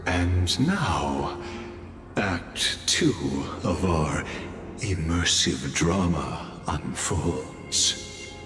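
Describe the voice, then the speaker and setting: Very deep, slow epic storyteller. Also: male voice, expressive, fairly bright tone, exaggerated voice. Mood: solemn, grand. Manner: grave, measured, theatrical. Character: solemn male storyteller of an epic legend. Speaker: narrator. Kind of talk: scripted epic narration. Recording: long hall reverb, music behind.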